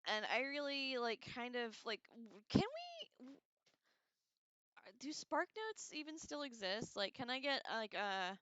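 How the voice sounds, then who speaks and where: A woman's voice: high voice, very animated, a little slow, a bit bright, no room sound. A woman hosting a casual, rambling livestream discussion with an irreverent, slangy manner, on a slightly dull microphone. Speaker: livestream host.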